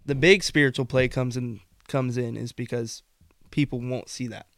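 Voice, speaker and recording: A man co-hosting a podcast in casual faith discussion, earnest and rambling, his voice going hoarse. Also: mid-range voice, fairly even intonation, moderate pace, fairly bright tone, no echo. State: losing his voice, coughing. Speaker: podcast co-host.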